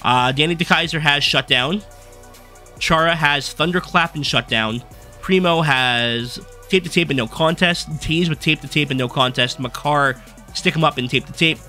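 A young man giving a casual, rambling rundown of his game team from his desk, his voice going hoarse as he is losing it. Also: fairly high pitch, pushed and bright, faint music behind. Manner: fast, rambling card-by-card rundown with fillers. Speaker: gaming YouTuber. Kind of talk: casual gameplay commentary. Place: small room at a desk.

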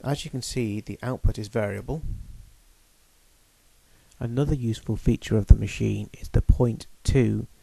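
Man, low gravelly voice, dry close sound, technical demo narration. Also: warm tone, dry recording, low-quality recording. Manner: measured, technical explaining. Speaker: equipment demonstrator. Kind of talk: explanatory voice-over demonstration.

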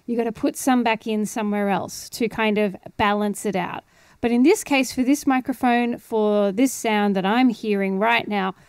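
A woman's voice: fairly high pitch, a little mellow, clean tone, no room sound, clean studio sound. A woman, chattily explaining things in a casual screen-share tutorial. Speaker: audio producer giving a tutorial. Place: indoor home studio. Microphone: headphones and close studio microphone.

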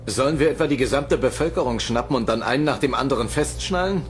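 A man's voice: medium pitch, fast talker, a little hiss or hum, natural voice. An actor playing a cold, clinical male boss in a sci-fi audio drama, speaking with authority. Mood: displeased. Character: cold commanding male boss ordering dissections. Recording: dramatic music under the voice.

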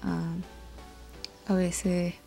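A young Venezuelan woman talks casually over soft music. Her voice is on the low side and mellow, with a lot of creak, and she rambles along informally in a conversational way. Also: flat delivery, extremely slow. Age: 20s. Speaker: art channel host. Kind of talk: casual chatty voice-over over drawing.

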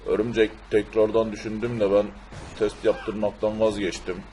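A man playing a gruff, plaintive fellow who fusses over his beloved cars in an amateur cartoon dub. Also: on the low side, flat delivery, moderate pace, mellow, a little hiss or hum, close to an everyday voice, low-quality recording. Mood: pleading. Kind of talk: amateur cartoon-style game video dub.

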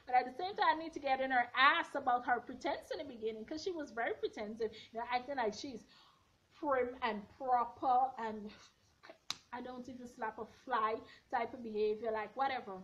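Woman, twenties, slightly hoarse, blunt and sassy, bedroom vlog, muffled lo-fi audio. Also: a little high, brisk. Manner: sassy, blunt, animated hand gestures. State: a bit hoarse. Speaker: reality TV recap vlogger. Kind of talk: casual opinionated recap. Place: bedroom at home, indoors. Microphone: front camera at arm's length. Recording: dull lo-fi webcam audio.

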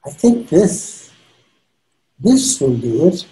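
An older man acting a contemptuous, gleeful devil in amateur readers theater, plotting in a deliberate, drawn-out delivery. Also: a little high, very animated, mellow, low-quality recording. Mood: scheming. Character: scheming devil, old male tempter, contemptuous and gleeful. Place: indoors at home, video call.

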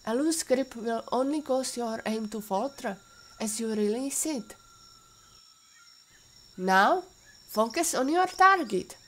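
An actress playing a patient, caring warrior mentor with a Russian accent and formal, instructive phrasing, in an audio drama. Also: female voice, a little high, animated, slow pace, long pauses, a little hiss or hum. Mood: stern, focused. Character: patient female warrior mentor, former Interpol agent, caring teacher.

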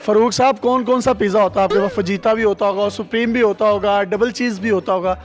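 Very high-pitched Pakistani male reporter. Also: steady tone, noisy background. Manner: playful, teasing. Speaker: reporter hosting a segment. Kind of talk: presenting to camera, interviewing. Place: emergency call-centre control room. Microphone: handheld reporter microphone. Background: background music.

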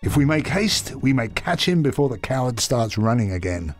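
An actor narrating as an ambitious knight in a video game, with a reflective, calculating manner. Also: male voice, medium pitch, big pitch swings, normal speed, faint music behind. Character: ambitious male knight narrating his choices.

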